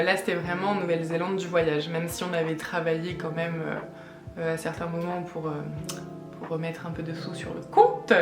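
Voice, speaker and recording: French woman nearly thirty, chatty vlog, kinda low voice, roomy echo with music under it. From France. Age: 20s. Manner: chatty, hesitant with many fillers. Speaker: vlogger. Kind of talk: casual vlog chat.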